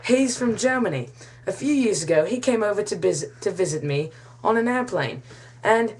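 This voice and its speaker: A woman's voice: mid-range voice, husky. A woman from the United States telling a rambling, mock-serious comic monologue in a put-on British-Australian accent. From United States, Kansas. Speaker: comic storyteller.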